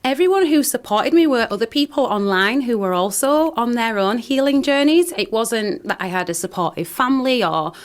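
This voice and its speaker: A woman's voice: fairly high pitch, animated, moderate pace, few pauses. A woman guest on a radio show, earnestly telling her own story with frequent restarts. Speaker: guest, founder of a wellbeing company. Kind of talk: radio interview. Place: radio studio.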